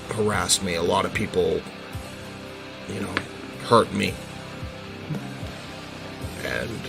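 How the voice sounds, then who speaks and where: Male actor as brooding teenage boy, very low gravelly voice, halting, earnest, protective, audio roleplay, music behind. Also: heavily acted. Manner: halting, hesitant, earnest confession. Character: brooding, defensive, bullied teenage son, protective of family. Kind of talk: amateur audio drama roleplay.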